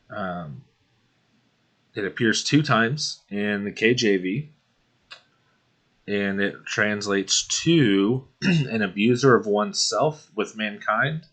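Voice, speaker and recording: A young man hosting a casual Bible study video, working through verses at an unhurried pace, often clearing his throat and saying um. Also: fairly low pitch, sharp tone. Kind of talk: casual screen-share Bible study walkthrough.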